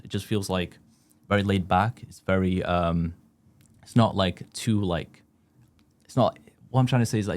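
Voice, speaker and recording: A man's voice: sits low, darkish tone. A young man from West Yorkshire, a first-year student chatting casually and rambling with many fillers and restarts. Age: young adult. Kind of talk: casual chat.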